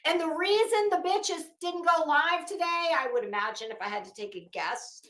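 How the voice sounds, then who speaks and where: A woman giving informal, opinionated commentary in an emphatic, scornful manner, swearing freely and pausing dramatically. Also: very high-pitched, expressive, bright, forceful tone, roomy sound. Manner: emphatic, scornful, profane, dramatic pauses and repetition. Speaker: online commentator.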